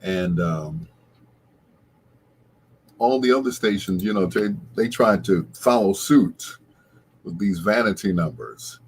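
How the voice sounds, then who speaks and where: A middle-aged American man with a husky voice hosts casual late-night radio, rambling along like a reminiscing storyteller. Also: low-pitched, expressive, slow, pauses often, a little hiss or hum. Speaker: radio show host.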